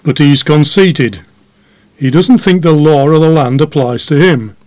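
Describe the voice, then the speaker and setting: A man's voice: a little high, unhurried, darkish tone, no room sound, lightly acted. A man playing an indignant, disapproving police inspector in an audio drama, blunt and scolding. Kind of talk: audio drama staged as a podcast.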